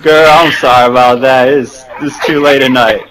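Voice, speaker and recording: A man plays a casual customer on a prank call, speaking in an everyday conversational voice at a medium pitch. The game-chat audio is clearly noisy. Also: fairly even intonation, moderate pace, a bit bright. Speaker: prank caller.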